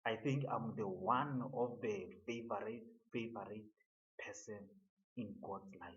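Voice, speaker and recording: A man giving an earnest motivational monologue, halting and often repeating his words. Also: on the low side, fairly bright tone, lo-fi sound.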